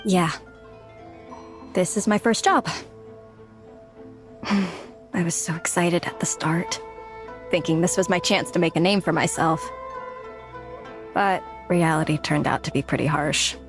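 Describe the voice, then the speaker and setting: A woman's voice: sits low, measured pace, pauses often. A young woman playing an overworked, self-doubting office worker in a game cutscene, hesitant and confiding. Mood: weary, confiding. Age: young adult. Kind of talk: acted video game cutscene dialogue. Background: background music.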